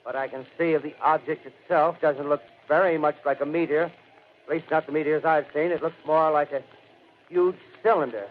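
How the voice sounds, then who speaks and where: A man's voice: fairly high pitch, steady tone, normal speed, close to an everyday voice. An actor playing an earnest radio field reporter in a 1930s drama, formal and now and then hesitant as he searches for words. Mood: awed, flustered. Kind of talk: 1930s radio drama acting as live commentary. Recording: tinny, noisy old 1930s radio broadcast.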